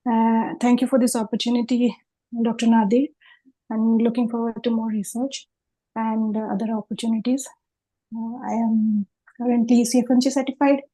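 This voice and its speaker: A woman from India introduces herself clearly on a video call, in an organized way. Her tone is warm and mellow, fairly high, and stays level. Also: level tone, normal speed, poor audio. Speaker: meeting participant.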